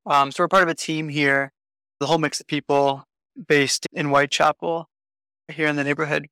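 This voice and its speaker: Man, fairly high and smooth voice, dry close sound, casual and chatty, faint music underneath. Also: moderate pace, no echo. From Canada. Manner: relaxed and chatty, leans on "like". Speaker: podcast guest, community worker. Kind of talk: casual interview talk.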